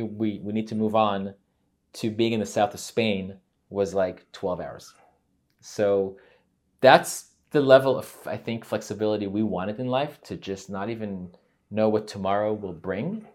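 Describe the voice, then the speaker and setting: Low-pitched israeli guy chatting in an interview, loose and rambly, lots of restarts. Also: unhurried, a little mellow. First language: Hebrew. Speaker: guest. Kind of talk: casual interview conversation.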